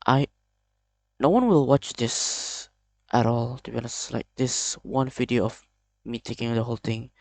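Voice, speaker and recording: A young man with an Indonesian accent talks haltingly into the camera, as if admitting something uncomfortable. His voice stays flat and level in pitch, with frequent false starts. The sound is close and dry, with no room around it. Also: vocal fry, no echo. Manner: halting, hesitant, many restarts. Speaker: video creator. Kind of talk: confessional vlog.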